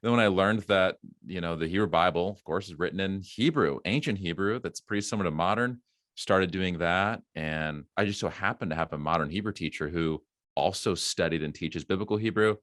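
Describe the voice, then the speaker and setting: Deep-voiced host with a dry sound. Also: male voice, brisk, fairly bright tone, no echo. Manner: friendly, asks long questions, laughs often. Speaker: podcast host. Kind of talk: casual interview chat.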